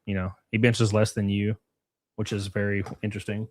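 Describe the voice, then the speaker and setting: A man's voice: fairly low pitch, level tone, brisk, a little mellow, no room sound. A man hosting a stream, giving blunt, rambling and profane reaction commentary. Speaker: streamer host.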